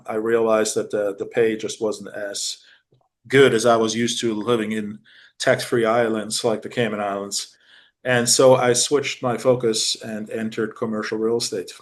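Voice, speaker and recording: A middle-aged man from Germany, a real estate director, talking in a measured, businesslike way with frequent fillers. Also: on the low side. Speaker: commercial real estate managing director, guest. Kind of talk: casual interview talk.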